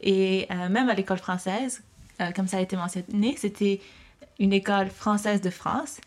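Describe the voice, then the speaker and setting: A woman's voice: mid-range voice, normal speed, a little mellow. A young woman from Mexico, chatting easily and laughing as she talks about growing up. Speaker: interviewee.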